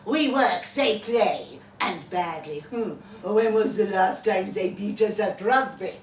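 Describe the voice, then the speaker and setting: Lady doing a proud huffy townsperson bragging, loud and scornful, story reading in a roomy space. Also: medium pitch, slow pace, a little hiss or hum. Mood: scornful boasting. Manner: loud, emphatic, indignant. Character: proud, scornful small-town citizen boasting of their city. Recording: roomy live event recording, distant mic.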